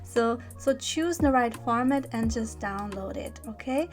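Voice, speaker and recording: A woman's voice: fairly high pitch, expressive, measured pace. A woman with a German accent, a native German speaker, explaining a website step by step in a patient, casual way with many small fillers and check-ins. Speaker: bag pattern designer. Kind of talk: screen-recorded website walkthrough. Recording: voice-over with music behind. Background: background music.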